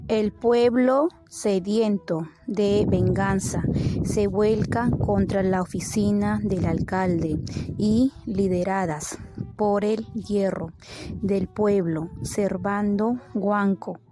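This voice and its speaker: A woman's voice: mid-range voice, slow pace, warm tone. A woman reading scripted narration for a radio novel. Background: faint music.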